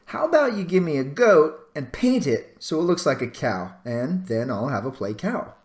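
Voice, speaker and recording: A man's voice: fairly high pitch, big pitch swings, moderate pace, echoey room. A man reading a children's storybook, voicing a bossy, determined young farm girl with an insistent manner. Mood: eager, insistent.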